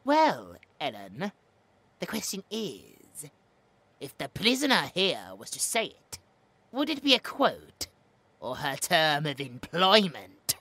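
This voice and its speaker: A man's voice: a little high, wildly swooping pitch, slow pace, sharp tone, slightly rough, heavily acted. A man with a British accent playing a smug, cruel mad scientist in an audio roleplay, taunting, theatrical and clinically precise. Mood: smug, needling.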